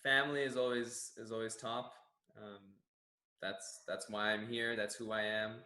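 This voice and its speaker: A man's voice: on the low side, level tone, measured pace, bright, forceful tone, roomy sound. A young Canadian man whose first language is Farsi, a gym general manager answering interview questions in an earnest, reflective way with many restarts. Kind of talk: staff profile interview answers.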